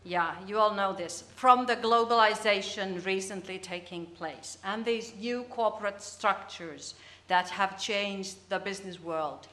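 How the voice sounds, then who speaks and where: Bright-voiced Finnish woman presenting. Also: mid-range voice, measured pace. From Finland. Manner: hesitant, restarts words mid-sentence. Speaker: conference presenter. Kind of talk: academic presentation. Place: conference hall.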